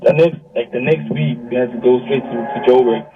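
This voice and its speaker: A man's voice: mid-range voice, fairly quick, background noise. A young South African man chatting casually in slangy storytelling style, acting out bits of dialogue, over a video call. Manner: slangy, animated retelling with quoted dialogue. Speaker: music artist, interview guest. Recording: thin phone-like video call, distant.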